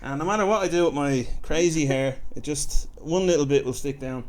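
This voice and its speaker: A man's voice: on the high side, fairly quick, a little mellow. A man hosting a podcast, chatting casually and making self-deprecating jokes. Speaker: podcast host. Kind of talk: casual chat.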